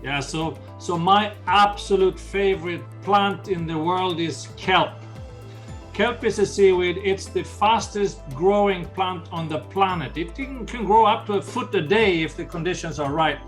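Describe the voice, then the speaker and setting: A man's voice: high voice, measured pace, a bit bright, faint music behind. An older man with a Swedish accent, earnestly explaining ocean conservation in an interview and often restarting phrases. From Sweden. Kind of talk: interview answers.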